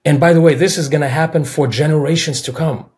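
A man in his forties from Belarus, a native Russian speaker with a Belarusian accent, answering interview questions over a video call in a measured, thoughtful way. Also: on the high side, steady tone, a little mellow. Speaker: film director guest. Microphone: video call, webcam.

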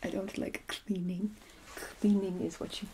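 A woman playing an ancient, haughty, aggrieved vampire in a comic ASMR roleplay, theatrical and breathy, often sinking into whispers. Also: mid-range voice, flat delivery, measured pace, warm tone, slight background noise, lightly acted. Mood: sulking, haughty. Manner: theatrical, indignant, grumbling. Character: ancient, haughty, aggrieved seven-hundred-year-old vampire.

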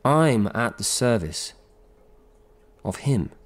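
An actor playing a devoted, earnest film director's assistant in a radio drama, reflective and halting. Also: male voice, medium pitch, very animated. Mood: earnest devotion. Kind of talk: naturalistic radio drama monologue and dialogue.

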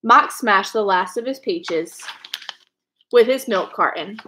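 A woman's voice: medium pitch, lively intonation, slow pace, pushed and bright. A young woman reading a children's novel aloud in a storytelling style, indoors at home. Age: young adult.

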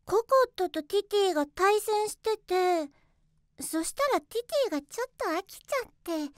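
A woman's voice: very high voice, moderate pace, darkish tone, dry recording, studio quality. A woman voicing an earnest, hesitant young magical schoolgirl in an anime-style voice drama. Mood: hesitant, explaining.

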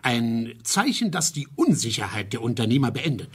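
A man's voice: mid-range voice, very animated, normal speed, husky. An actor playing a self-assured male business spokesman in a radio play, emphatic and declamatory. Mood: assertive. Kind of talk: German radio play acting.

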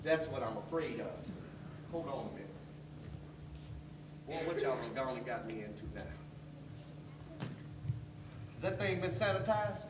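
A man's voice: mid-range voice, lively intonation, lightly acted. A man playing a kindly, wary old janitor with a folksy Southern way of talking in a stage play. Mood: alarmed. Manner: folksy, drawn-out storytelling. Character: kindly, wary old blues-loving orphanage janitor, fatherly mentor. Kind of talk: stage acting. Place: theatre stage, far camera. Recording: distant echoey theatre stage, noisy.

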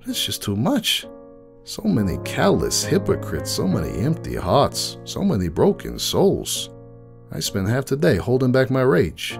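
A man's voice: mid-range voice, vocal fry. A man voicing a bitter, grieving middle-aged pony who runs a cafe, weary and anguished, in a dramatic story reading. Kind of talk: dramatic fan fiction reading in first person.

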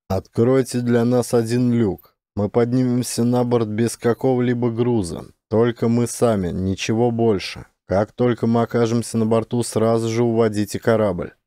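A man's voice: mid-range voice, dark tone, dry recording, clean studio sound, lightly acted. A man playing a sober, decisive male major in a science fiction audiobook, firm and matter-of-fact.

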